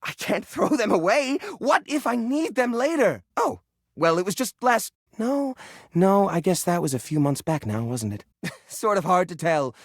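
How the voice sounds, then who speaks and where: A nervous, sentimental hoarder of a living junk drawer for a video game. He is theatrical and easily flustered, stammering as his pitch swings widely. The sound is dry and close. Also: very animated, moderate pace, no room sound, full character voice. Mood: wistful, dejected. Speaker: video game character voice actor. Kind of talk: animated video game character acting.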